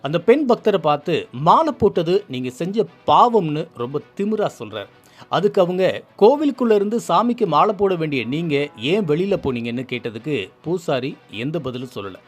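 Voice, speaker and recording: A man's voice: on the high side, lively intonation, moderate pace, faint music behind. A man presenting scripted social commentary in an emphatic, indignant manner full of rhetorical questions.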